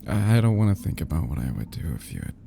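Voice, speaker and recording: A man playing an obsessive, lovesick young yandere kidnapper in an ASMR roleplay drama, stammering and sighing with halting, fragile tenderness, often dropping into breathy half-whispers. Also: low voice, big pitch swings, dark tone, a little hiss or hum, exaggerated voice. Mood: anxious, possessive.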